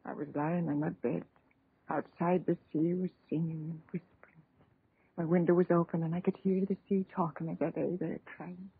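Husky, frail old widow on tinny radio. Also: female voice, lowish voice, flat delivery, normal speed, exaggerated voice. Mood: mournful narration. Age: older. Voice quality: husky, rough. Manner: plaintive, lamenting storyteller with pious exclamations. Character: frail, pious, grieving old widowed mother. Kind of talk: 1930s radio horror acting. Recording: tinny, band-limited 1930s radio recording.

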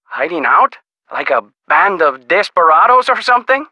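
An actor playing an easygoing, skeptical small-town sheriff in a video game, with a folksy, casual manner. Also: male voice, high voice, big pitch swings, no room sound, highs cut off. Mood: dismissive, easygoing. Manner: folksy, casual, drawn-out reactions. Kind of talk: video game character dialogue.

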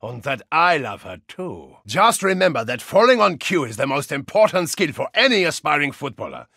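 An actor playing a brash, hot-tempered German football hooligan action hero in a video game, with a German accent, a gravelly rasp and bombastic delivery. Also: male voice, fairly high pitch, very animated, normal speed, dry recording, studio quality, exaggerated voice. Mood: earnest, preachy. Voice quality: gravelly, raspy. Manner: bombastic, theatrical, swinging from growl to shout. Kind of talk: video game character voice lines.

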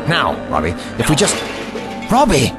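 Eccentric, irascible old gentleman played by a man, swooping pitch, pompous, over loud music. Sci-fi audio drama. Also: wildly swooping pitch, moderate pace. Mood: urgent. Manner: pompous, old-fashioned, scolding. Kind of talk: amateur audio drama acting.

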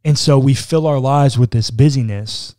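Mellow-voiced man in casual podcast chat. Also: mid-range voice, normal speed, dry recording, clean studio sound. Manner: reflective, talks it through with frequent "like". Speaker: podcast co-host. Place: small room indoors, seated in armchair. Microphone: boom-arm studio mic close in front.

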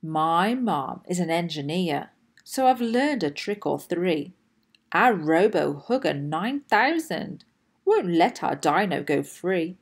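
A woman reading a children's storybook aloud in an expressive, deliberate way with emphatic phrasing. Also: on the low side, husky. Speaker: host and children's storybook reader.

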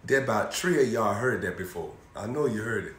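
A young man preaching an energetic sermon, repeating phrases and throwing questions to his listeners. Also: on the low side, fairly quick, slight background noise. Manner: animated, repetitive preaching with call-and-response questions, moving around. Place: indoor room in front of a banner backdrop. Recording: echoey room.

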